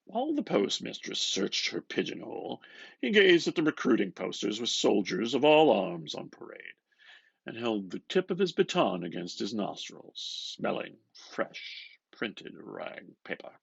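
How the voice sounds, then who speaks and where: A man gives an expressive, theatrical reading of a literary novel, moving between murmured asides and louder phrases. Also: fairly low pitch, a little slow, creaky, husky, no room sound, highs cut off. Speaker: reader of a novel.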